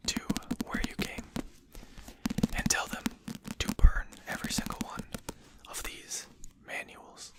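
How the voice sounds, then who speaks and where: A performer voicing a courteous but condescending vampire in a whispered ASMR roleplay, speaking formally and with measured care. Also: lightly acted. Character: courteous, condescending vampire manor lord, amused and civil.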